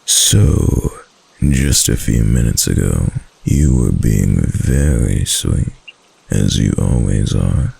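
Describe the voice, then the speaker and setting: Casting a male actor for a clingy yandere fox-spirit husband, intimate ASMR roleplay; slow, heavily creaky murmur, tender and obsessive. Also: lively intonation, a little mellow, exaggerated voice. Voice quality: deep, breathy, gravelly. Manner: slow, hesitant, coaxing endearments.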